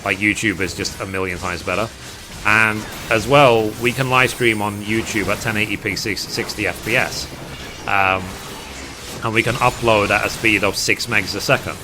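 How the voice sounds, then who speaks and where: A man's voice: on the low side, fairly even intonation, normal speed, low-quality recording. A man with a British accent chatting casually and at rambling length with his live stream viewers as a gaming streamer. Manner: rambling, reading chat aloud and replying. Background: music.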